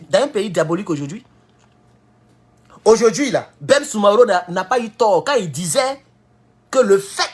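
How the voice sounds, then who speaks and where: A man with an Ivorian French accent giving a heated political commentary, jumping from loud high outbursts to low mutters. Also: breathy edge. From Ivory Coast. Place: at home in California.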